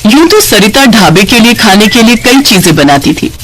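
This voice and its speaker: A woman's voice: on the high side, very animated, moderate pace, a bit bright, slight background noise, low-quality recording. A woman reading a scripted story as its narrator.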